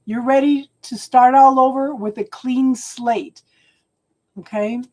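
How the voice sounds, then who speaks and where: A woman doing a live tarot reading at home, talking slowly and soothingly, often checking in with "okay?", and clearing her throat as she loses her voice. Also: sits high, fairly even intonation, darkish tone. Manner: slow, soothing, keeps adding "okay?". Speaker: tarot reader.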